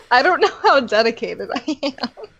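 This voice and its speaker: A woman's voice: sits high, gravelly, fairly bright tone, lo-fi sound. A woman co-reading a story, giggly and a little hesitant, in a casual chat. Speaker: co-reader.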